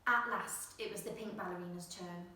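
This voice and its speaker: A woman reading a children's storybook with expressive narration, putting emphasis on the action words. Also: fairly low pitch, normal speed, a little hiss or hum. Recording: very echoey room, distant microphone.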